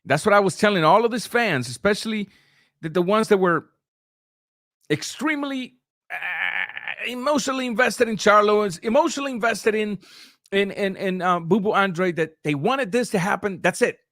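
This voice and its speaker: A man's voice: high voice, expressive, moderate pace, a bit bright, dry recording, studio quality. Casual Spanish-speaking man streaming boxing talk. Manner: loose, conversational, with frequent restarts and filler sounds. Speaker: boxing channel host. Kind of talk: live-stream commentary, reading super chats.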